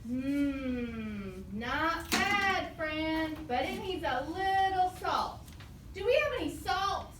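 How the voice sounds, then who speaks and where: A woman voicing a clever, confident squirrel cook in a children's puppet show, savoring words in a deliberate way. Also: high voice, lively intonation. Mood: pondering. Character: clever, confident traveling squirrel cooking magic soup. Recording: echoey room, distant mic, faint noise.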